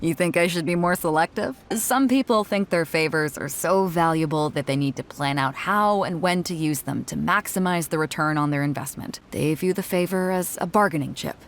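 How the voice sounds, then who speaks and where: A woman's voice: on the low side, moderate pace, hardly any pauses, lightly acted. A woman playing a bold, easygoing female ship captain in a video game, speaking frankly with casual swagger. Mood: reflective, earnest.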